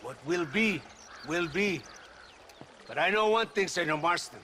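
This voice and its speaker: A man's voice: a little high, lively intonation, unhurried, pushed and bright, slight background noise. A man playing a menacing, pompous Mexican military tyrant in a game cutscene, theatrical and mocking, with a Mexican accent. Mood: grandiose. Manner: theatrical, sneering, mixes Spanish into English. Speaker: video game character actor. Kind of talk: dramatic game cutscene acting.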